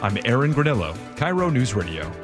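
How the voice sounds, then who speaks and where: A man reading news, traffic and weather for a radio station in a brisk, clear style. His delivery is scripted, with light music under it. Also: mid-range voice, big pitch swings. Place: radio studio.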